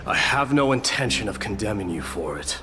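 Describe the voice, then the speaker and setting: A man's voice: fairly low pitch, sing-song, brisk, noisy background. A man playing a proud, stern warrior prince in a video game, speaking in a formal, grave, restrained way. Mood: solemn, resolute.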